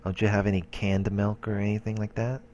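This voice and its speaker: A man's voice: on the low side, little pitch movement, brisk, a little mellow, muffled recording, slight background noise. A man hosting a let's play, reading game narration aloud with occasional casual asides.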